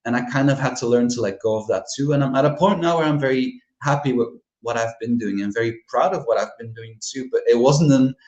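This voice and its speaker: A man's voice: mid-range voice, fast talker, few pauses, a little mellow, reverberant, highs cut off. A man from Flanders with a Flemish accent, a Dutch native speaker talking in English as a guest author, candid and reflective, often laughing. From Belgium (Flanders). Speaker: horror author, podcast guest. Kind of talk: casual interview chat.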